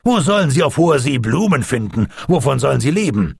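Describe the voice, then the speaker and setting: Man playing a gruff, commanding sea captain. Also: on the low side, very animated, normal speed, dry recording, clean studio sound. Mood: incredulous. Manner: authoritative, sardonic. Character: commanding male navy captain, gruff and sardonic.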